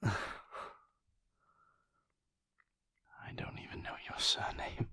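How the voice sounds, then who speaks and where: A man playing a mysterious, brooding vampire lover in an intimate audio roleplay, tender and hesitant, with halting, formal speech in a husky, breathy whisper. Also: pushed and bright, no room sound, clean studio sound, close to an everyday voice. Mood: hesitant, wistful. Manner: halting, measured, formal wording.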